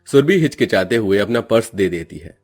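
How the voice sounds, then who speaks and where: Male narrator, lowish husky voice, lively swings, scripted cartoon storytelling, faint music behind. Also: fairly quick.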